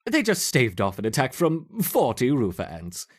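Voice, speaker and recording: A man's voice: mid-range voice, huge pitch swings, normal speed. A man playing an eccentric, stubborn scientist in a video game, theatrical and huffy, muttering as he dotes on his ants. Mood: agitated, deferring. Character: eccentric, stubborn male scientist doting on his ant colony. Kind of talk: acted video game dialogue.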